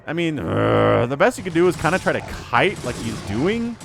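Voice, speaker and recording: A man casting a strategy game match alone, excitable and jumping into yelps at big plays, his voice slightly scratchy from a cold. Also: fairly high pitch, normal speed, gravelly. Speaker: StarCraft shoutcaster. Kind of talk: solo game commentary.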